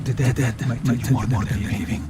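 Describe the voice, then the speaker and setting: An actor playing an anxious Italian resistance partisan in a war game, with an Italian accent and halting, repeating speech. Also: male voice, medium pitch, fairly even intonation, heavy background noise, lightly acted. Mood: uneasy, somber. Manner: halting, repeats his first words. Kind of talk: video game cutscene acting.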